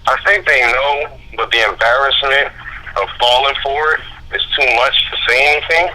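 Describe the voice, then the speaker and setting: A man speaking as a guest on a talk radio show, heated and profane, telling his story fast and forcefully. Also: mid-range voice, a little hiss or hum. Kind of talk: angry call-in radio talk. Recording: phone line.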